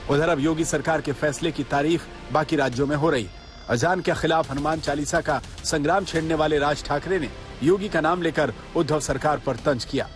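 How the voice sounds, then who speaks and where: A man's voice: a little high, normal speed. A man narrating a scripted television news report as a voice-over. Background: light background music.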